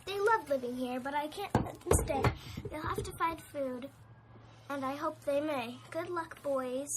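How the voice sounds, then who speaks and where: Very high-pitched indignant little forest creature. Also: male voice, a little slow, heavy background noise. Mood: sorrowful. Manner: pleading, preachy, protesting.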